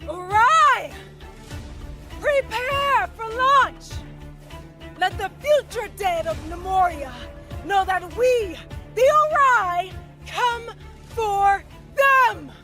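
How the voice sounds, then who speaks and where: A woman's voice: very high voice, expressive, drawn-out words, over music, exaggerated voice. A woman playing a menacing, commanding alien warlord in an audio drama, theatrical and imperious. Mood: fierce rallying cry.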